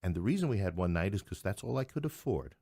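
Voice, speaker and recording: A middle-aged man speaks in a low voice, recorded close and dry. He talks conversationally as an interview guest, slipping in wry, joking asides as he explains things. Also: sing-song, quick pace, dark tone, husky, no echo. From New York City. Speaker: playwright and podcast guest.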